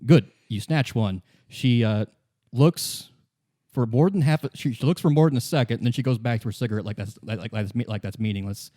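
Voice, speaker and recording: A man narrating an improvised tabletop game as game master, in a halting, conversational way. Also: mid-range voice, warm tone, no echo, studio quality.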